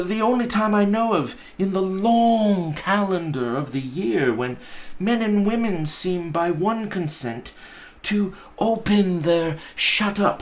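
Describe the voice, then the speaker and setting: Man acting a high, effusive young nephew. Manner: stammering, effusive. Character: cheerful, earnest, warm-hearted young nephew.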